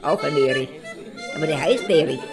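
A woman playing a chatty figure who recalls everyday encounters in a colloquial, halting way for an audio drama. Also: deep voice. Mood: musing, amused. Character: chatty woman recalling home-care visits and acquaintances. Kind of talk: casual anecdote telling. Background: accordion music.

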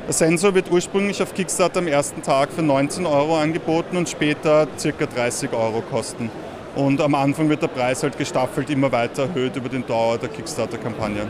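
A man explaining his product at a fair stand, talking fast and casually. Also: mid-range voice, background noise. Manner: quick, casual, laughs often. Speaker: startup founder at a fair stand. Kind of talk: interview answers. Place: busy indoor fair hall.

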